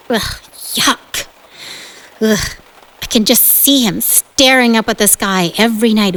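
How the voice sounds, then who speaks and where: A woman's voice: on the high side, fairly bright tone, rough recording. A woman playing a bossy, thrill-seeking teenage gang leader in a 1950s audio drama, dramatic and restless in manner. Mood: edgy, scornful.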